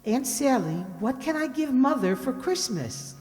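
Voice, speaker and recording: A high, animated little-girl voice, acted by a woman, eager and affectionate. She chats earnestly in 1940s radio style, with long hall reverb around her. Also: a little slow, faint music behind. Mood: eager, confiding. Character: eager, affectionate young girl in a soap commercial.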